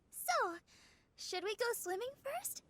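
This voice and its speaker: A woman voicing a sweet, polite, happy-go-lucky teenage girl in an anime game, light and gentle. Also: very high voice, full character voice.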